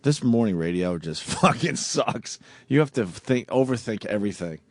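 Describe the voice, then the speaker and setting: A man hosting morning talk radio, crude and joking, laughing often, with a voice he says reflux has deepened. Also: expressive, moderate pace, no echo. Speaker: radio show host. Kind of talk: casual morning radio banter. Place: radio studio.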